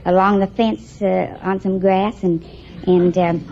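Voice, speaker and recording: Female contestant, plain-spoken and hesitant, creaky voice; noisy vintage radio with audience. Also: medium pitch, level tone, unhurried, a little mellow. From Iowa, USA. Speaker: quiz contestant, housewife. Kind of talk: halting, folksy storytelling. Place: radio studio before a live audience. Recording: muffled old 1950s radio, audience, noise.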